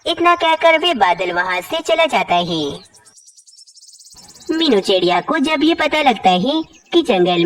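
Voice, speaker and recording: Female children's story narrator, highish and animated, slightly distant with background noise.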